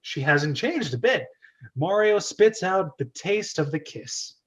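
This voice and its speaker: A man's voice: high voice, lively intonation, slow. A man hosting and narrating an amateur script reading in a playful, chatty, self-interrupting way, with casual commentary.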